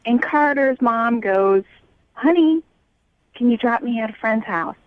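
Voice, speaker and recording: A woman's voice: a little high, animated, measured pace, no room sound. Young American woman on a muffled phone line. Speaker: called guest on a radio show. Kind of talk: casual phone conversation. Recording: dull lo-fi phone call.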